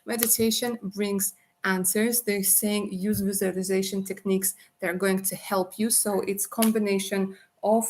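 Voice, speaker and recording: A chatty, affectionate woman gives a live tarot reading, her voice at an ordinary middle pitch. She talks to viewers warmly and casually, calling them darling. A faint hiss of noise sits under the stream. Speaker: tarot reader.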